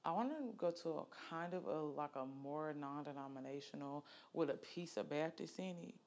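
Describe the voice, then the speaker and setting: A woman's voice: deep voice, steady tone, moderate pace, a little mellow. A woman, hosting a podcast in a candid, chatty way with lots of fillers. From Alabama, US. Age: late 20s. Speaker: podcast host. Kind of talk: casual solo podcast chat.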